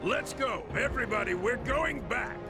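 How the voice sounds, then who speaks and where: An actor playing a huge, pompous crime boss in a video game, demanding and imperious. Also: male voice, very high voice, lively intonation, normal speed, over music. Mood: commanding. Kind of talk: video game cutscene acting.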